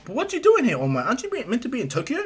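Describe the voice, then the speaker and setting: A man puts on an earnest, excitable teenage boy in a cartoon-style story. The voice is pushed up high and slightly husky, with a bashful, polite, nervous edge. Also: rapid-fire, faint music behind, full character voice. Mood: eager, polite.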